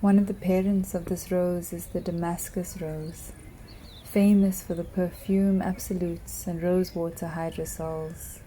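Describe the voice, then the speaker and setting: A woman reads in a slow, hushed, poetic voice with a very dark, mellow tone. Her pitch sits fairly low and barely moves, and now and then she slips into a whisper. A faint hiss runs under the recording. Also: little pitch movement. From South Africa. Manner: soft, hushed, drifting into whispers. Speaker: narrator.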